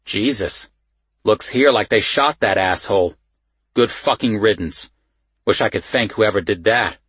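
A man voicing a gruff, short-tempered police sergeant with a Baltimore accent, blunt, profane and impatient, in an audiobook. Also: on the low side, a little slow, fairly bright tone, no room sound. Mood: grimly satisfied. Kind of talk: audiobook character dialogue.

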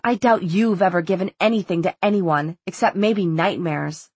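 A woman's voice: on the low side, moderate pace, a little mellow, husky, dry recording. A woman voicing a distraught, defiant teenage heroine in an audiobook, speaking with accusing emphasis.

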